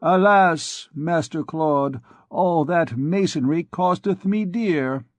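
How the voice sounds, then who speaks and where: A man's voice: fairly high pitch, takes it slowly, no echo, pitched above the performer's own voice. A man reading aloud plays a crafty royal physician of about fifty in a storybook. He grumbles and pities himself, letting his phrases drag. The sound is close and dry, with no room around it. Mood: complaining. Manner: grumbling, self-pitying. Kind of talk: audiobook dialogue.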